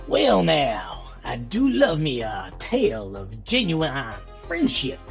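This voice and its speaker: A creaky, sinister horror-show uncle. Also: male voice, on the high side, wildly swooping pitch, slow, full character voice. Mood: gleeful, sly menace. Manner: folksy, theatrical, sly. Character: folksy, menacing horror-show host uncle relishing murder. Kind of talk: in-character horror anthology hosting. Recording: music underneath.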